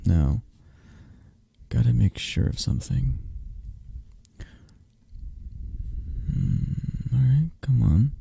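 A man playing a possessive, flirtatious, intimidating mafia boss in an ASMR roleplay, husky and murmuring, with drawn-out teasing pauses. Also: mid-range voice, lively intonation, warm tone, slight background noise, exaggerated voice. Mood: intrigued, flirtatious. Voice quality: husky, gravelly, at times breathy.

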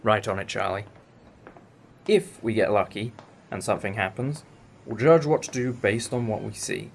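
A man's voice: fairly low pitch, fairly even intonation, normal speed. A man playing a wry, old-fashioned time-travelling alien leader in a fan audio drama, explaining things with some hesitation. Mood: planning calmly. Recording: amateur recording with clear background noise.

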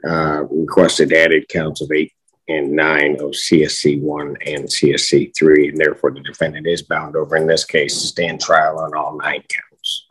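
A middle-aged American man speaking as a judge, giving formal courtroom rulings in a measured, deliberate way. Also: rumbling low, breathy edge, low-quality recording. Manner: measured, deliberate, official phrasing. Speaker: judge presiding over a court hearing. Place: courtroom bench on a video call.

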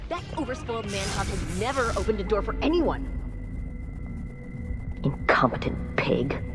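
A woman's voice: medium pitch, big pitch swings, normal speed, lightly acted. A woman playing a haughty, impatient scientist in a video game, speaking in a clipped, scornful, precise manner. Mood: contemptuous. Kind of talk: video game dialogue acting. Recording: slightly dull game audio under music.

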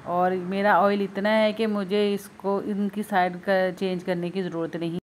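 A woman's voice: mid-range voice, level tone, a little slow, slight background noise. A woman demonstrating a recipe step by step in her home kitchen, speaking patiently to viewers and repeating her instructions. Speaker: home cooking video host.

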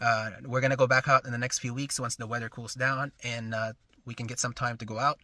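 A man in his thirties filming himself at arm's length, giving a relaxed, rambling walkaround of his car in a garage and then while driving. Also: mid-range voice, brisk, no echo. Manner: relaxed, rambling, many ums. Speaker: shop owner showing his car. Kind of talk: casual vlog walkaround. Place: car shop garage, then inside a moving car. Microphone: camera held at arm's length.

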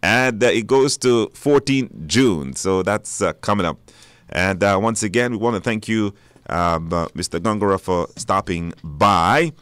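A man's voice: on the low side, measured pace, a bit bright, clear, resonant tone, no room sound. A man hosting a live radio show, announcing informally with hesitations and repetition. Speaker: radio talk show host. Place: dry radio studio.

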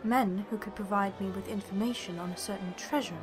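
A woman's voice: medium pitch, fairly even intonation, a little fast, music in the background, natural voice. A woman playing a haughty, feisty treasure-seeking adventurer in a fantasy game dub, cool and disdainful in manner.

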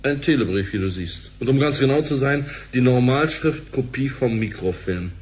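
A man's voice: medium pitch, lively intonation, moderate pace, close to an everyday voice. A man playing a composed, dry-humoured executive in a conversational radio drama. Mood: insistent.

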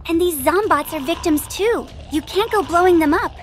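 A woman's voice: very high-pitched, expressive, moderate pace, a little hiss or hum. A woman voicing a sweet, earnest little rabbit girl with a childlike, pleading manner in a comic dub.

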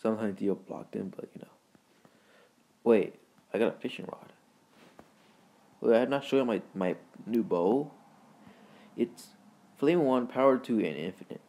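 Guy with a lowish voice doing laid out gameplay commentary, rambly and halting, super informal. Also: lively intonation, mellow. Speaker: gaming video creator and host.

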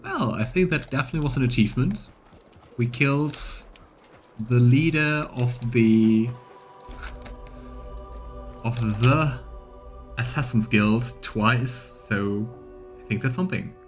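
A man's voice: medium pitch, slow pace, long pauses, faint music behind. A man in his thirties doing casual, playful game commentary and narration as a streamer, musing as he goes. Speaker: streamer, let's player. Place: small indoor room on webcam.

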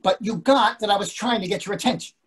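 A man's voice: high voice, quick pace, a bit bright, husky. A man in his sixties playing an irritable, sarcastic husband in a readers theatre comedy over a video call. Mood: strained, sarcastic. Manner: sarcastic, exasperated. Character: irritable, indignant older husband dining out.

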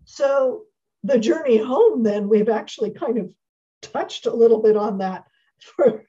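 A middle-aged woman hosts a casual interview in a fairly high voice, taking her time between short affirmations. She sounds somewhat distant and muffled over the video call. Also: lively intonation, unhurried, dark tone. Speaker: interview host.

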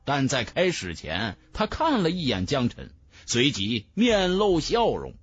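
A man narrating a fantasy novel in a dramatic, expressive storytelling manner. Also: mid-range voice, a little slow, dull audio, a little hiss or hum. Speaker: audiobook narrator. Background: background music.

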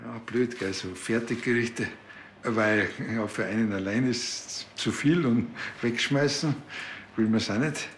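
A man's voice: mid-range voice, measured pace, lightly acted. An actor playing a chatty, awkward rural policeman with a Bavarian accent and a rambling, earnest manner. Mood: awkward, flirtatious. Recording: loud vehicle and ambience noise.

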